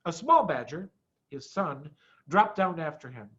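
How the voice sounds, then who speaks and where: Male storybook reader, middle-aged, slow and highly animated, emphatic delivery, muffled lo-fi recording. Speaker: school head reading a story aloud. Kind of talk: animated children's storybook reading.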